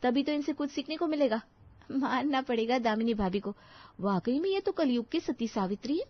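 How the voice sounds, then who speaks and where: A woman voicing an eager, anxious young daughter-in-law in a family story, with an excitable, dramatic, pleading manner. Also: high-pitched, normal speed, no echo, lo-fi sound, pitched above the performer's own voice. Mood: scheming, exasperated.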